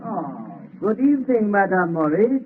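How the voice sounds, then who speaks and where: A thin, boxy old radio recording of a kindly professor. His voice is fairly high, and he speaks with courteous, reassuring calm. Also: phone-quality sound, slight background noise. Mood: genial. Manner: mild, courteous, measured. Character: genial, reassuring older male professor of science. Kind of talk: 1930s radio drama acting.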